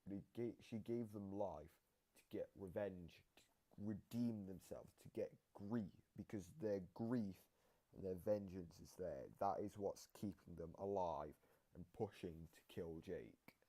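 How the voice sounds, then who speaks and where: A man hosting a reaction video, casually explaining game lore in a halting way that often trails off. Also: sits low, warm tone, rough recording.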